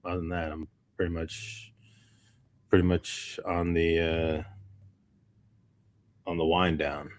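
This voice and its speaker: A man co-hosting a casual unscripted podcast, talking in a halting, rambling way and often repeating his phrases. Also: low voice, level tone. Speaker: podcast co-host.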